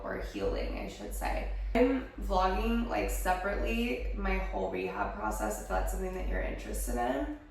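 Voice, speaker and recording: A young woman vlogging from her home studio, a little hoarse from a head cold, chatting casually with lots of fillers. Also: lowish voice, far from the mic, a little hiss or hum. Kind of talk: casual chat to camera. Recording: echoey room, voice off the mic.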